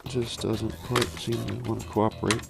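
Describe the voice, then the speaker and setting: A man demonstrating an office product in a casual, halting, think-aloud way, like a hands-on walkthrough. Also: lowish voice, level tone, vocal fry, over music. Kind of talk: casual hands-on phone system demo.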